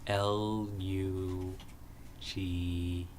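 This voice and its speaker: A man with a fairly low voice chats casually over a card game, explaining rules in halting bursts full of fillers. There is a faint hum of room ambience behind him. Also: level tone, a little mellow. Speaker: stream co-host. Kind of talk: casual chat while playing a card game. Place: game store.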